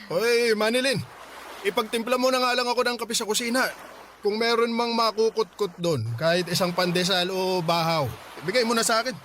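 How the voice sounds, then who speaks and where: Radio drama: bitter middle-aged dad played by a man, high sharp voice, defensive and demanding, music under. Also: steady tone, a little fast, a little hiss or hum. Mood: irritated. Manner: defensive, grumbling. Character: bitter, demanding widowed father, jobless and hard-drinking. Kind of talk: Filipino radio drama acting.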